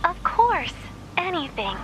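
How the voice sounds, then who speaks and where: A woman voicing a courteous, gentle male machine who leads a peaceful village in a video game. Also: extremely high pitch, very animated, slow pace, dull audio, noisy background, heavily acted. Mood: delighted, polite. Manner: polite, formal, mild. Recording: loud music behind.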